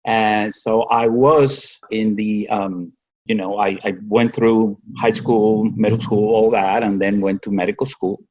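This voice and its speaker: A man in his sixties with a Cuban accent, a native Spanish speaker, telling his own story conversationally and haltingly. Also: fairly low pitch, fairly even intonation, darkish tone, muffled recording. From Cuba. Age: 60s. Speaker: podcast guest, physician.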